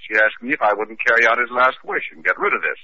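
A man's voice: medium pitch, lively intonation, fast, pushed and bright. A man playing a tough, unflappable private detective in a 1940s radio drama, with a wry manner. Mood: firm, wry. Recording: dull, slightly noisy old radio recording.

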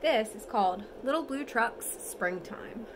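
A woman in her thirties reads a storybook to a small child. Her high voice swoops in a lively, sing-song lilt, and she takes her time, encouraging the listener. It is recorded on a phone at home, with a faint noise behind her. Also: a little slow. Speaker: mother reading a bedtime story. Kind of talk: read-aloud storytelling to a toddler. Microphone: phone at arm's length.

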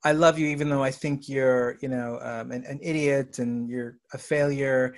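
A man interviewing an actress, asking calm, probing questions with short prompts. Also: mid-range voice, normal speed. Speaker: interviewer, writer-director.

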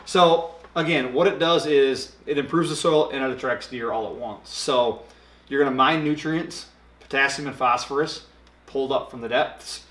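A man's voice: medium pitch, normal speed. Man explaining a product, roomy echo. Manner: relaxed explaining with hand gestures and filler ums. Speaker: product expert. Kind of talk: casual product talk. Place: dark studio set, indoors.

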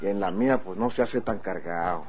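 A man's voice: deep voice, a little fast, darkish tone, lightly acted. A man acting a chatty, wary rancher with a Mexican accent in a golden-age radio drama. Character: Don Otilio, chatty wary male rancher. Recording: faintly noisy old radio recording.

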